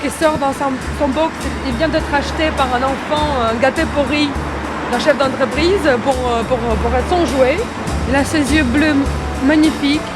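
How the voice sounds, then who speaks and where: An actress with an Eastern European accent, answering interview questions in a hesitant, playful way. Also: female voice, high voice, moderate pace, poor audio. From former Soviet Union. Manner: hesitant, playful, laughs often. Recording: loud music behind voice.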